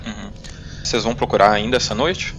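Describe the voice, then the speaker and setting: Game master: Brazilian man, lowish voice, conversational improvised narration, music clearly underneath. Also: quick pace. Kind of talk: improvised narration of a tabletop role-playing game.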